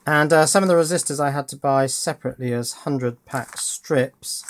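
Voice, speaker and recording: A man's voice: mid-range voice, normal speed, slight background noise. A man hosting a casual hands-on electronics tutorial, informally thinking aloud as he works. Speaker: host.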